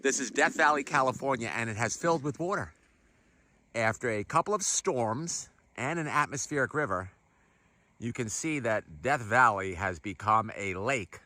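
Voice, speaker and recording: A man's voice: medium pitch, animated, moderate pace, bright, forceful tone, no echo. Upbeat man narrating an outdoor travel vlog. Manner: upbeat, enthusiastic touring commentary. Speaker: travel vlogger. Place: outdoors at a desert lake shore.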